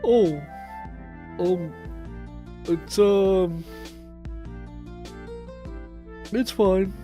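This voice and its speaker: A man plays a gullible, self-important schoolboy from a visual novel. The voice is high and soft-toned, swinging widely in pitch over faint music. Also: very slow. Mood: hesitant. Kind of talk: visual novel character read aloud.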